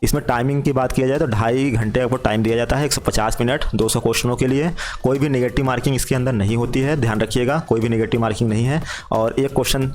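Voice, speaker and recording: Male presenter, mid-pitched, brisk informal explainer delivery with repeated key points, over background music. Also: steady tone, darkish tone. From India. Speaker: job exam guidance presenter.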